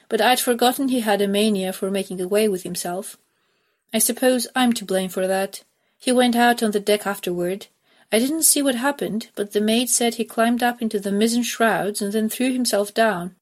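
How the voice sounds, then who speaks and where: A woman's voice: mid-range voice, a little mellow. A woman narrating a dramatic story as a reader.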